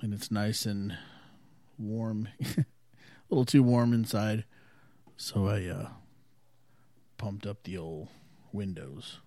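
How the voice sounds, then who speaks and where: A man's voice: lowish voice, fairly even intonation, takes it slowly, pauses often, mellow, no room sound. A man hosting his own podcast from home, chatting casually in a laid-back, rambling way. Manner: laid-back and rambling, with frequent fillers and chuckles. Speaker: podcast host. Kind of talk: casual solo podcast chat. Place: at home by an open window.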